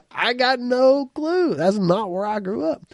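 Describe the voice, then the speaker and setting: A man's voice: very high voice, expressive, takes it slowly, no echo. A man hosting a podcast in a studio, chatting in a folksy, talkative way with a stuffy nose and frequent laughs. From rural small-town America. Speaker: podcast host. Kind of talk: casual interview chat.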